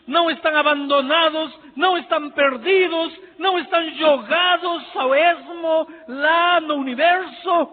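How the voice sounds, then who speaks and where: A middle-aged man from Peru, a pastor with a Peruvian Spanish accent, preaching an impassioned sermon that swings from soft pleading to near shouting. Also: very high-pitched. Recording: tinny lo-fi sound in a reverberant hall.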